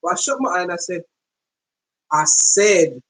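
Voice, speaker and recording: A woman podcast host with a London accent talks in a loose, slangy, conversational way. She tells her story as a casual monologue. When she quotes someone, she slows down and speaks with deliberate weight. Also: fairly low pitch, sing-song, rough recording. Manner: conversational, slangy, acts out quoted speech in slow, deliberate tones.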